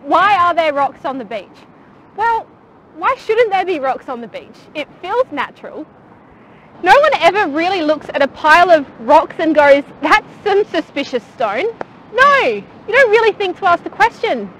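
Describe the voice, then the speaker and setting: A young woman in her twenties, a geologist presenting a lively, enthusiastic science lesson outdoors on a rocky beach. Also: very high voice, moderate pace, low-quality recording. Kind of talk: scripted science explainer.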